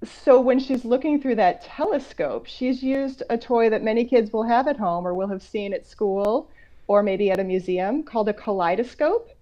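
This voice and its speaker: A woman author reading a picture book aloud to children, going between slow measured reading and conversational explanatory asides. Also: on the high side, lively intonation, dark tone. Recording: dull, lo-fi online call audio.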